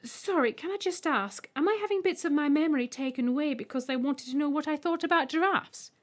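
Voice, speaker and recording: An elderly woman in her seventies from a storybook , voiced by a female reader, bewildered and easily put out. She questions everything in a plain-spoken way, at a high pitch. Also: a little fast, lightly acted. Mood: indignant. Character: bewildered, indignant seventy-three-year-old woman.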